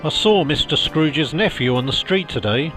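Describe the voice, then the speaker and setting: A man playing a weary, kind, poor father in a storybook reading, speaking gently and earnestly. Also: on the high side, lively intonation, moderate pace, voice pushed up, lightly acted. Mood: grateful, weary. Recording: music behind the voice.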